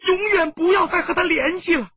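A man voicing a desperate middle-aged mother who cries and pleads in drawn-out wails. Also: extremely high pitch, highs cut off, pitched above the performer's own voice. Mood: tearful pleading. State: crying.

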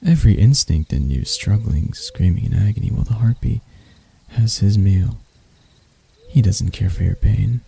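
A man voicing a deranged, seductive spider vampire in an intimate ASMR roleplay, soft and breathy, teasing and theatrical, doting yet menacing. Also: on the low side, expressive, heavily acted. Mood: dark, persuasive. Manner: slow, teasing, theatrical, with dark chuckles.

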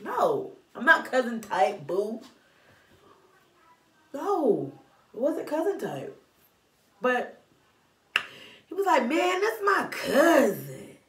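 A young woman tells her story to the camera in a sassy, animated way. Her voice is high and bright. The small room at home sounds echoey, and she is a little off mic. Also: takes it slowly, pauses often, distant mic. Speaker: vlogger. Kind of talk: storytime vlog. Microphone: camera in front of her.

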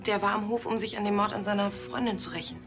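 An actress playing a tactful, quick-witted policewoman in a TV drama. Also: medium pitch, fairly even intonation, over music, natural voice.

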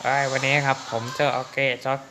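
A woman's voice: very deep voice, completely flat delivery, fast talker, noisy background. A woman dubbing a bossy, grumbling male cartoon cat in a blunt, exclamatory way. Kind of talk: improvised comic cartoon dubbing.

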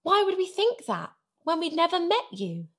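A woman voicing an excitable, warm-hearted young girl in a children's storybook, eager and earnest. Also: very high voice, normal speed, voice pushed up, heavily acted. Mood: excited.

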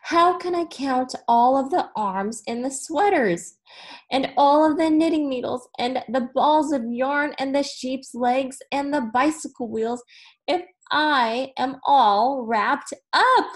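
Storybook girl, played by a woman: young, excitable, determined counter, high voice, emphatic exclamations. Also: slow pace. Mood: exasperated.

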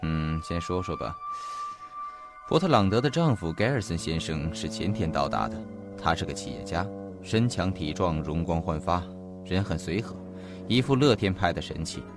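A man acting in an audio drama as an earnest investigator, speaking in a formal, deliberate and detailed storytelling manner. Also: deep voice, animated. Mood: measured, grave. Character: earnest male investigator recounting a mysterious disappearance. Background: faint background music.

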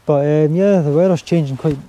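A Scottish man talking casually to his camera while camping on a hilltop, relaxed and chatty. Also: a little high, brisk. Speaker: outdoor vlogger. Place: outdoors on a windy hilltop campsite. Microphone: handheld camera or mini tripod.

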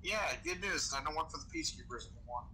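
Young guy roleplaying a bartender on game voice chat, kinda high really bright voice. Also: animated, fast, rough recording. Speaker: role-player as a bartender. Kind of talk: improvised in-character game role-play chat. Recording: faint background noise on game voice chat.

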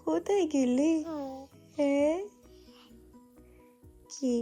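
A woman's voice: high voice, drawn-out words, mellow, faint music behind. A woman talking playfully to her baby, cooing and repeating short questions.

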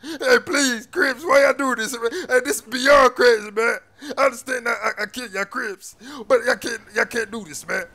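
A man playing a captured young gang member who begs and yells in a frantic voice. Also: very high voice, steady tone, a little fast, hardly any pauses, exaggerated voice. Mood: panicked. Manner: pleading, frantic, yelling.

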